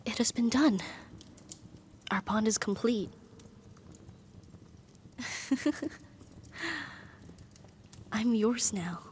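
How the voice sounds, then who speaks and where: A powerful demoness, acted by a woman, speaks to her master with formal, lovestruck devotion. Her voice is slightly high, soft and breathy, often sinking into a whisper. She takes her words slowly and hesitantly, as intimate ASMR roleplay, over faint noise. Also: close to an everyday voice. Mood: blissful, hungry.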